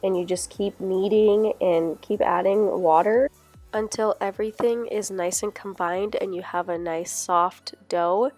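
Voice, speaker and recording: A woman with a cold, her voice a bit nasal, calmly talks through each step of a recipe. Her pitch is mid-range, and soft music plays faintly underneath. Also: unhurried. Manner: relaxed, instructional. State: sick, a little nasal. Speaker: cooking vlogger. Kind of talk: step-by-step cooking voice-over.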